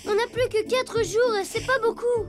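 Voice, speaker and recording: An actor voicing an excitable, anxious young elephant boy in a children's cartoon, earnest and eager. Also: extremely high pitch, normal speed. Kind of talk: animated children's cartoon dialogue. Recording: music behind the voice.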